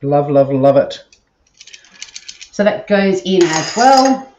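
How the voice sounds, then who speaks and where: A woman's voice: low-pitched, very animated, slow pace, a little mellow, a little hiss or hum. A woman with an Australian accent and a nasal voice, chatting casually to viewers while she cooks in her home kitchen. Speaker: cooking stream host. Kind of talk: casual live cooking chat. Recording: dull audio with kitchen clatter.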